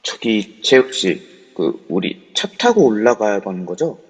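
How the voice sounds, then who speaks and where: A man's voice: fairly low pitch, sing-song, measured pace, heavily acted. A man voicing a polite, fussy young man who asks questions and offers thanks. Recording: muffled, reverberant voice chat audio.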